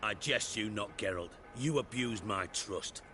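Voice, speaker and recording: Sardonic, low-voiced spymaster. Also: male voice, measured pace, bright, forceful tone, slight background noise. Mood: indignant. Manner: wordy, theatrical, sarcastic. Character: sardonic, menacing male spymaster, cultured and proud. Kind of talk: video game voice acting.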